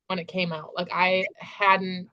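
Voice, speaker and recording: A woman's voice: fairly low pitch, flat delivery, a little slow, dry recording, dull audio. A woman co-hosting a book discussion in casual, conversational chat. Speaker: co-host of a book readalong live stream.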